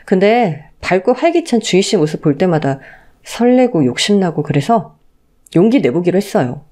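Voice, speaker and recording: A woman voicing a gentle, polite, earnest man in his thirties in a radio story drama. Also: lowish voice, big pitch swings, moderate pace. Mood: tender, earnest. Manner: soft-spoken, polite, earnest.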